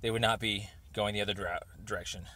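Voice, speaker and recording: A man leaving an informal, rambling voicemail over the phone, speaking haltingly as he pieces his thoughts together. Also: lowish voice, fairly even intonation, bright, forceful tone. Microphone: telephone.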